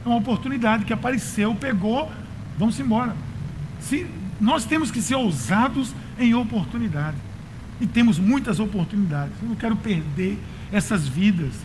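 A Brazilian man with a high-pitched voice delivers a conversational sermon. He exhorts his listeners in everyday language and repeats phrases to drive them home. Light noise sits behind his voice. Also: animated, moderate pace. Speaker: pastor.

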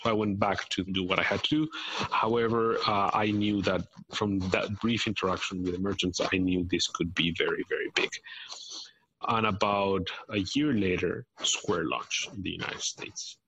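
A man from Mexico City, answering interview questions conversationally, often halting and correcting himself. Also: deep voice, fairly even intonation, fairly bright tone, husky, no echo, lo-fi sound. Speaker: podcast guest, company founder.

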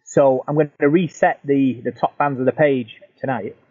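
A man's voice: mid-range voice, steady tone, normal speed, husky. A man co-hosting a sports podcast, joking and teasing his co-host. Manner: jokey, teasing. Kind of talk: casual sports chat. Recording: tinny phone-like line.

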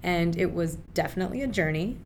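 Chatty young woman, low-pitched, faint rumble. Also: quick pace, creaky. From Pakistan. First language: Urdu. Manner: chatty, animated, lots of fillers. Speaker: guest filmmaker. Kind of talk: casual interview talk.

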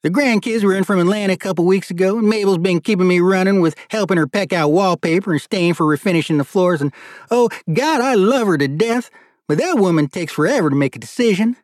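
Man acting a boisterous old Georgia judge, pitched high. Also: fast talker, no echo, clean studio sound, close to an everyday voice. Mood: exasperated. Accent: Georgia, US Southern. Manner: loud, robust, folksy, emphatic. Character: boisterous older Southern judge, short pudgy, exasperated, joking.

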